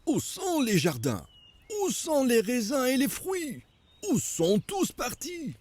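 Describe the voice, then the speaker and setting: An actor playing an expressive, curious storyteller in a children's religious cartoon. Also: male voice, very high-pitched, slow pace, heavily acted. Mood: astonished. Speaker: narrator and questioner.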